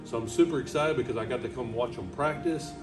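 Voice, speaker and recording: A man's voice: mid-range voice, fast, distant mic, over music. A man interviewing a band in a casual, loose way, often stumbling over his words. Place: large rehearsal space.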